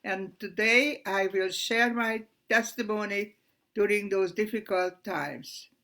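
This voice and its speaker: An elderly woman tells her own life story to a live online audience. She speaks very slowly and earnestly, with frequent pauses and restarts. Her voice sits at an ordinary pitch for a woman. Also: sharp tone. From Hungary. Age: 90s. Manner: slow, halting, earnest retelling with pauses; loses her place and restarts. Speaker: Holocaust survivor giving testimony. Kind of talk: personal testimony on a live stream.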